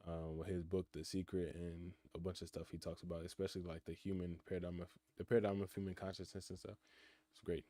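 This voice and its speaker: Young man, nineteen, low voice, dry close sound; relaxed streamer musing on chat questions. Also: fast, dry recording. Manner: laid-back, reflective, reads chat questions aloud and answers. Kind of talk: casual live-stream chat. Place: his room in college housing. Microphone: computer mic.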